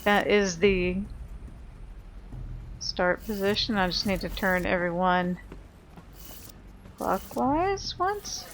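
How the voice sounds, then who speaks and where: A woman's voice: mid-range voice, animated, takes it slowly, long pauses, slight background noise, poor audio. A woman giving casual video game commentary, thinking aloud in an informal, self-deprecating way. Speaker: video game player and host of a playthrough.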